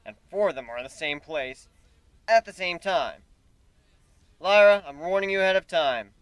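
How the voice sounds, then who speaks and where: A man acts out a wry, wisecracking hero of a fantasy tale. His voice is high and jumps about animatedly, dry and close to the listener over music. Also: animated, moderate pace, a bit bright, smooth, no room sound. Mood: warning.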